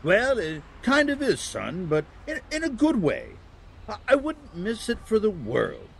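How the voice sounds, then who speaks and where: Man playing a kindly old Santa with swooping pitch. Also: huge pitch swings, unhurried, gravelly, a little hiss or hum, full character voice. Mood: warmly reminiscing. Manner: hesitant, stammering, gentle. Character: kindly, bumbling old male Santa Claus. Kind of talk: family Christmas audio drama acting.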